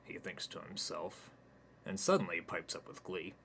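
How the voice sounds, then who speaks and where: Deep-voiced man reading deadpan comic narration. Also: normal speed, creaky, breathy edge. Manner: deadpan, crude. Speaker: author reading his own story. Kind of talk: comic stream-of-consciousness narration.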